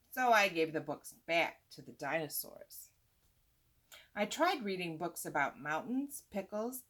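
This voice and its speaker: A woman's voice: mid-range voice, a bit bright. A woman reading a children's book aloud expressively, drawing out words for emphasis and adding asides for young listeners. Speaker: storytime reader, teacher-like host.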